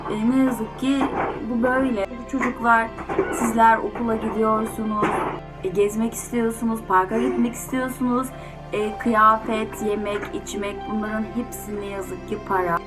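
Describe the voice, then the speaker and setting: A woman hosting children's stories, speaking informally and directly to young listeners with gentle advice. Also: on the high side, steady tone, moderate pace, rough recording. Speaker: children's storyteller and channel host. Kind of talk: children's story reading, then informal address to children. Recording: music under the voice. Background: music.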